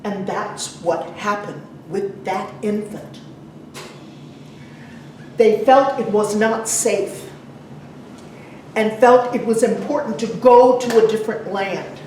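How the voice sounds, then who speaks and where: A woman preaching a sermon to a congregation in a conversational way, putting questions to her listeners and pausing for emphasis. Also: medium pitch, a little mellow. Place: large echoey church room. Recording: very echoey, distant mic, some noise.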